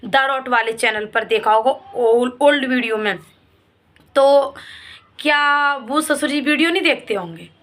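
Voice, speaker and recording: A woman's voice: high voice, a little slow, a bit bright. A woman vlogger giving opinion commentary straight to her viewers, in an emphatic, reproachful way that leans on repeated points.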